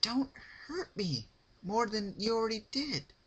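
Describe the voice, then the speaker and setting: Casting: terrified ladylike pony, played by a man, high falsetto-like pitch, slow halting pleas, cartoon horror fan fiction. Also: very animated, husky, heavily acted. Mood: tearful begging. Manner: halting, pleading. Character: frightened, refined female pony pleading for mercy. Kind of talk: fan-fiction dramatic reading.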